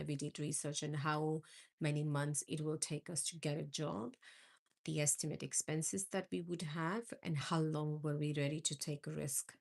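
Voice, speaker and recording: A woman's voice: low-pitched, vocal fry. A middle-aged woman from India hosting a live stream, chatting with viewers and answering their comments. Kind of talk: casual live-stream chat.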